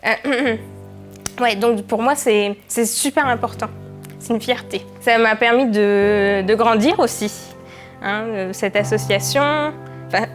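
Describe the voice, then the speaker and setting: French-born woman, native Polish speaker. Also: on the high side. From France. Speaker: association member. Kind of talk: personal interview reminiscence.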